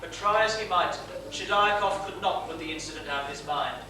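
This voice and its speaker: A man playing a mock-solemn storyteller, delivering florid, deliberate comic narration from a theatre stage. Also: high-pitched, lightly acted. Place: small theatre stage, far from mic. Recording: distant, echoey, noisy theatre stage recording.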